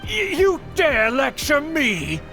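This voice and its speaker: A pompous, high-strung noble uncle. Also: male voice, wildly swooping pitch, slow, full character voice. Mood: indignant. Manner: pompous, imperious, theatrical. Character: haughty, scheming noble uncle, indignant older man. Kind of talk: theatrical video game voice acting. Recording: music behind the voice. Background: game music.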